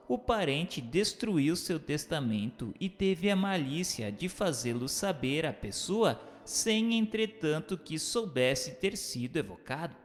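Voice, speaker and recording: A man narrating and posing questions from a religious text in a formal, measured, didactic way. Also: fairly high pitch. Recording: hall-like reverb, music bed.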